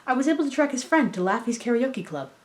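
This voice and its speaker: A woman's voice: a little high, animated, fast, voice pushed up, close to an everyday voice. A woman playing a practical, mildly exasperated female android partner to a cartoon detective. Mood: reluctant, businesslike.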